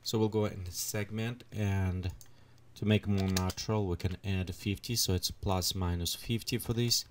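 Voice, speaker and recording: A man with leading a software tutorial at his computer, thinking aloud as he adjusts settings. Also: low voice, steady tone, slight background noise. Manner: unhurried, thinks aloud while clicking through settings. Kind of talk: screen-recorded software tutorial.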